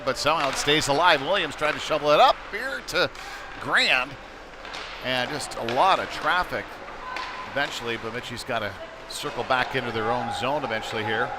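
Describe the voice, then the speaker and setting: A man commentates live on an ice hockey game in a medium-pitched voice. He talks in short, conversational fragments that follow the play. The noise of the rink is clearly audible around him. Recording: noisy live rink broadcast.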